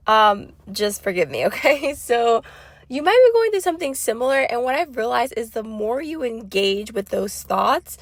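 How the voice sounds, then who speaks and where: A woman's voice: a little high, dry recording. A woman with a stuffy, sick throat hosting a solo podcast, talking off the cuff with lots of fillers and restarts. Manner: chatty, rambling, many fillers and restarts. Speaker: podcast host. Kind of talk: unscripted solo podcast monologue.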